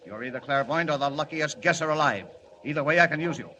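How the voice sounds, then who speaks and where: An actor playing a gruff, impatient newspaper city editor in a 1940s radio drama. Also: male voice, fairly high pitch, fast talker, sharp tone. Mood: brusque. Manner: brusque, impatient, blustering. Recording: very noisy old 1940s radio recording.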